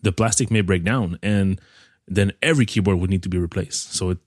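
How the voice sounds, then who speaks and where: A man's voice: sits low, brisk, no echo, clean studio sound. A man who reviews tech gadgets, telling stories on a podcast in an exasperated way. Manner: exasperated, storytelling. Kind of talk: casual tech chat.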